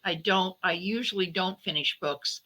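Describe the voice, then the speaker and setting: A woman with a very bright, forward voice pitched a little low. She talks as an author being interviewed, thinking aloud in a conversational way and often reworking her phrasing as she goes. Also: moderate pace. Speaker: guest novelist and podcaster.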